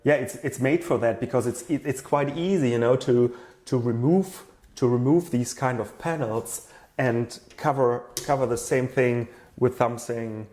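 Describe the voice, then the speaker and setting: Male interviewee, product designer: mid-pitched, thoughtful, halting explainer who circles back over his words. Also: darkish tone.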